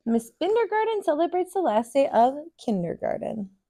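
American woman, thirties, high-pitched, lively intonation, expressive storyteller, children's picture-book read-aloud. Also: normal speed, creaky. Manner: expressive, sing-song storytelling. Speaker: kindergarten teacher, storyteller. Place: small furnished room, indoors.

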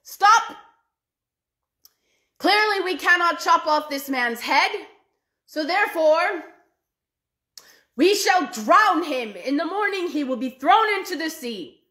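A ruthless emperor who fears any man stronger than he is, played by a woman telling the tale. He speaks sternly and pompously in a high, lively voice with slow, weighty phrasing. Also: heavily acted. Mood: commanding. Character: wary ruthless male Chinese emperor.

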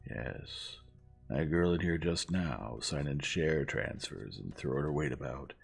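A man's voice: extremely low pitch, expressive, unhurried, creaky, faint music behind, exaggerated voice. A man voicing a belligerent, scornful young radical with a blunt manner in an audiobook. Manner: blunt, contemptuous, snorting. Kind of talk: audiobook character acting.

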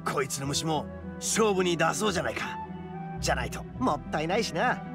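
Hot-blooded oni braggart, high-pitched for a man, gravelly edge, rough masculine speech, anime game. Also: lively intonation, brisk. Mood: eager. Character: boisterous, cocky young male oni, hot-blooded braggart. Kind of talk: anime-style game voice acting. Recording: studio voice over game music. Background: background music.

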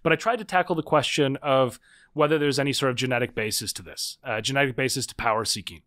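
A man's voice: medium pitch, quick pace, a bit bright. Thoughtful man talking in a podcast interview. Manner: thoughtful, hedging with fillers and restarts. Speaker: podcast guest, author. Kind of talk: conversational interview.

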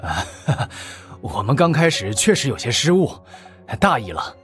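A placating male henchman, acted by a man for an audiobook. He is obsequious and hesitant, with mid-range pitch that rises and falls freely over faint music. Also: big pitch swings, a little slow. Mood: fawning politeness.

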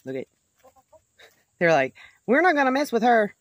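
A woman's voice: fairly high pitch, sing-song, moderate pace, no echo. A middle-aged woman chatting casually as she films her homestead outdoors, folksy and talking to her chickens now and then. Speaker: homesteading vlogger. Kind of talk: casual vlog chat. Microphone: handheld camera or phone at arm's length.

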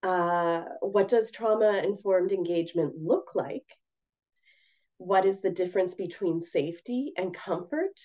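Woman presenter, hoarse and raspy, mellow gentle delivery, slightly muffled call audio. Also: fairly low pitch. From Canada. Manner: gentle, measured, with frequent ums. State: hoarse from a cold. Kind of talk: webinar presentation. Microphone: video call.

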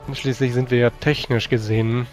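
A man's voice: mid-range voice, level tone, fairly quick, soft background music. Man role-playing in formal phrasing. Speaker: tabletop role-playing player. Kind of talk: improvised in-character dialogue in a tabletop game.

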